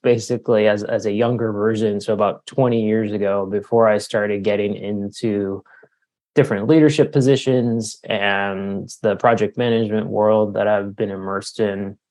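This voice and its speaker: A man's voice: on the low side, darkish tone. A middle-aged man giving reflective, somewhat hesitant answers as an author and leadership coach being interviewed.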